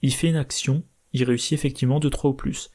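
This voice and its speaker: A slightly hoarse man methodically explaining card game strategy, thinking aloud with hesitations. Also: mid-range voice, steady tone, fast, a little mellow. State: slightly hoarse, irritated throat. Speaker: board game channel host.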